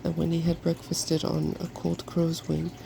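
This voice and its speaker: A softspoken ASMR host reading a fairy tale from a storybook in a gentle, quiet way. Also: medium pitch, monotone, a little slow. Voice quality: soft-spoken. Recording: clearly noisy background.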